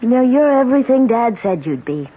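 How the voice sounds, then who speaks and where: A woman's voice: fairly high pitch, big pitch swings, brisk. A young woman with an American accent acting a gushing, giddy newlywed heiress in a radio drama, stammering and repeating herself. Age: young adult. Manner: breathless gushing, stammering repetitions. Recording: tinny, noisy old radio recording.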